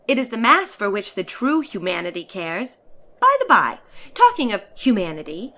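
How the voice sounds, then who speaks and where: A woman giving a dramatic, expressive audiobook reading of a satirical story in letters, stretching some phrases for effect. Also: fairly high pitch. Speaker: narrator of a classic short story.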